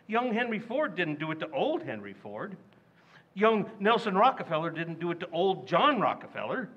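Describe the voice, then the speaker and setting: An actor playing a loud, indignant working-class family man in a radio play. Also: high-pitched, heavily acted. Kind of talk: old-time radio drama acting. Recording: dull lo-fi recording.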